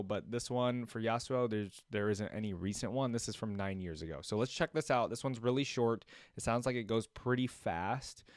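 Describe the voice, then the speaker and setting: A man's voice: on the low side, no room sound, studio quality. A man giving casual, informal reaction commentary as a streamer, thinking aloud as he goes. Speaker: streamer reacting to game videos.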